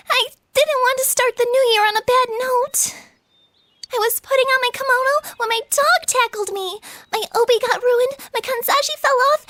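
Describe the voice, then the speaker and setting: Very high-pitched, shy schoolgirl. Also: pushed and bright, no echo, full character voice. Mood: apologetic, embarrassed. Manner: hesitant, flustered, polite. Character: shy, earnest, devoted teenage schoolgirl with a crush. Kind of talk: video game anime-style dub acting.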